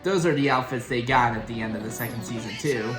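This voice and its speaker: A man gives casual reaction commentary in a mid-pitched voice, rambling and often halting as he goes. The room is echoey, and music plays clearly behind him. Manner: hesitant, halting, informal delivery. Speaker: reaction video host. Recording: roomy echo, loud show music behind.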